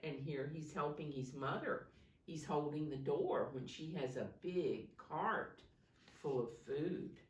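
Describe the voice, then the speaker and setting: A woman reading a storybook aloud to young children as a teacher, pausing to explain it simply and ask questions in a deliberate, instructive way. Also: sits low. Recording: roomy echo, distant microphone.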